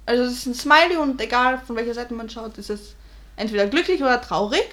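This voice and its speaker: A woman's voice: fairly high pitch, fast, a bit bright. A young woman, chatting casually and laughing often. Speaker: co-host. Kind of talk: casual chat.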